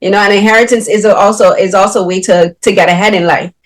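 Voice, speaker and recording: A woman's voice: mid-range voice, fairly even intonation, quick pace. Woman asking long film questions. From Barbados. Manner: long winding questions with many fillers. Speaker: interviewer. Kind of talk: film interview.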